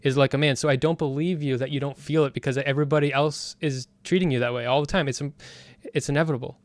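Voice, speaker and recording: Blunt, opinionated man in a casual chat with a smooth, clean voice. Dry, close sound. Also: mid-range voice, fairly even intonation, fast talker, no room sound. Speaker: discussion guest.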